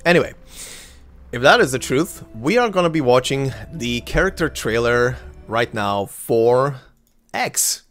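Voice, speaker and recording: A man in his thirties whose first language is Croatian hosts a video game let's play, talking loosely with plenty of fillers and restarts. His voice sits at a middling pitch. Faint game music plays quietly behind him. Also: lively intonation, a little slow. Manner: chatty, rambling, self-correcting with many fillers. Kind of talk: casual gameplay commentary.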